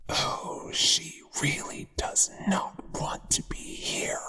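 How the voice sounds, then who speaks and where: Slow whispering spider monster lover. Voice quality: breathy, hushed whisper. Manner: slow, crooning, deliberate. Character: possessive male spider monster, devoted, menacing lover. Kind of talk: whispered ASMR roleplay acting. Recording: long reverberant echo.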